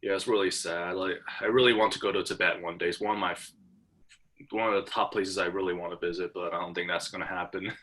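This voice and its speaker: A young man from Hong Kong talking in a relaxed back-and-forth with his professor. His voice sits a little low but comes across bright and forceful. He stumbles through many restarts and fillers. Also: fast. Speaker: student. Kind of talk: casual conversation.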